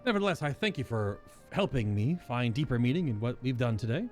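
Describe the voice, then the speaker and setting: Man acting a formal, expressive young-hearted fantasy nobleman. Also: medium pitch, faint music behind, natural voice. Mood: formal, reflective. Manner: formal, measured wording. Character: earnest, courteous, bookish nobleman, reflective and formal. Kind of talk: reading fantasy game dialogue aloud.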